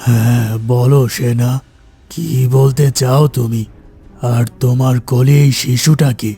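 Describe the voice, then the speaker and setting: A man voicing a sorrowful, kindly king in a cartoon folk tale, slow and solemn. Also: medium pitch, fairly even intonation, warm tone, husky, soft background music. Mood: tender, solemn.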